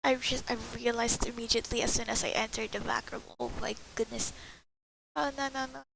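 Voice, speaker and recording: High, creaky-voiced woman gamer. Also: steady tone, brisk, background noise. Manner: talks to herself, repeats words. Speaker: gamer playing a story quest. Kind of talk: casual live reaction commentary.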